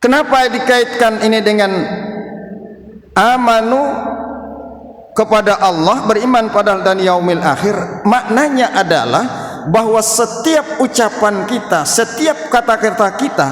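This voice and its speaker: A man preaching a sermon, explaining point by point in deliberate phrases with Arabic recitation. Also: sits high, animated, a bit bright. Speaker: Islamic preacher. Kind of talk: sermon, dawn mosque lecture. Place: mosque hall. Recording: echoey hall, long reverb.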